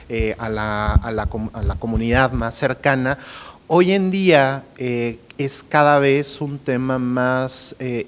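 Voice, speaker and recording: A man with a Mexican accent speaking as an academic panelist, explaining in a measured way with frequent tag questions. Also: medium pitch.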